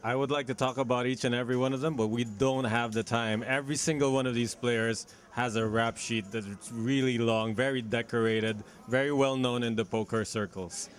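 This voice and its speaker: A man commenting on a poker final table in a measured, deliberate way. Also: mid-range voice, fairly even intonation. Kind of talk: broadcast commentary.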